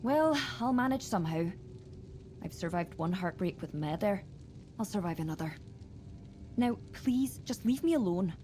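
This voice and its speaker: A woman voicing a grieving widow in a fantasy role-playing game, sharp-tongued yet sorrowful. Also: medium pitch, expressive, normal speed, long pauses, a little hiss or hum, natural voice. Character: grieving, bitter widowed tribeswoman. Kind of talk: video game voice acting.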